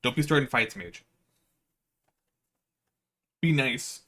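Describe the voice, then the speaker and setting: A man's voice: medium pitch, animated, slow pace, fairly bright tone. A man livestreaming with slangy, playful, rambling casual chat to his viewers. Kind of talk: casual livestream chat, reading visual novel aloud.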